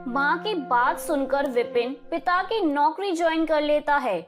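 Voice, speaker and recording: A woman's voice: high-pitched, measured pace, music in the background. A woman narrating a scripted moral story as a storyteller.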